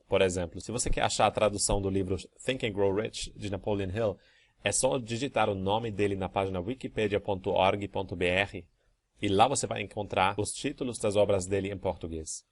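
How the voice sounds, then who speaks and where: A man, a language course teacher, reading out student emails and answering them one by one in a calm, methodical way. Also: fairly low pitch.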